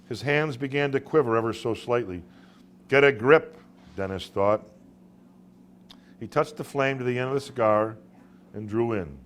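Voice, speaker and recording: A man gives a live reading of a literary short story in a mid-range voice. He tells it dramatically, leaving deliberate pauses and now and then stumbling on a word. There is some ambient noise behind him. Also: mid-range voice. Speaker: author reading own short stories. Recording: live room recording with background noise.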